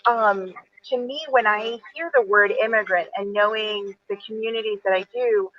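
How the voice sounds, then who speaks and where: A woman's voice: medium pitch, fairly even intonation, normal speed, lo-fi sound, slight background noise. A woman in her forties, an attorney, talking earnestly and a little rambling on a video call, with lots of fillers. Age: 40s. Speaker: attorney and event moderator. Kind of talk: virtual author event conversation.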